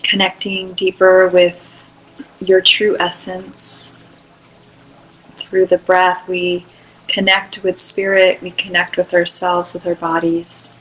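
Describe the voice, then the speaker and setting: A woman leading an unscripted guided meditation in a soothing, unhurried way, with long pauses between short phrases. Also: fairly low pitch, monotone, a bit bright, slight background noise, rough recording.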